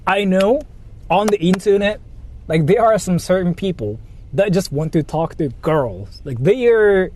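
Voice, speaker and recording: A man's voice: sits high, animated, measured pace, a little mellow. A man livestreaming, chatting casually with viewers and reading donations in a rambling, joking way full of fillers. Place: in Japan.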